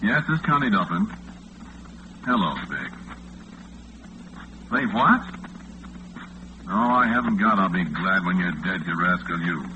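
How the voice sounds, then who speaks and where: An American actor playing a lonesome, affable late-night disc jockey in 1940s radio style, chatting in a folksy, hesitant way. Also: male voice, low-pitched, lively intonation, measured pace, long pauses. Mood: friendly, obliging. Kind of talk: 1940s radio acting, one-sided phone chatter. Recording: muffled, noisy 1940s radio recording, phone-like band.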